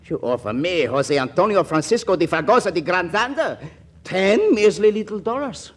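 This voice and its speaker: An actor playing an excitable, mooching Latin friend with a Spanish accent and halting, mangled English in a 1940s radio comedy. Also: male voice, high voice. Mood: indignant. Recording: noisy vintage 1940s radio broadcast.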